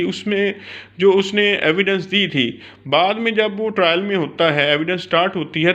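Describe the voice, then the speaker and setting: A man with a Pakistani accent giving an explanatory law lecture, mixing in English terms, in a halting, repetitive way with filler phrases. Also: high voice, fairly bright tone.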